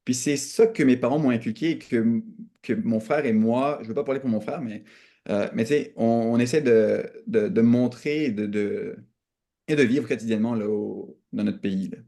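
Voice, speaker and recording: A young man with a mellow, relaxed voice and a Quebec French accent answers as an interview guest. His pitch sits in the middle, and he speaks earnestly and reflectively, restating points for emphasis. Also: moderate pace, vocal fry. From Canada. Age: young adult. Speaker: podcast guest.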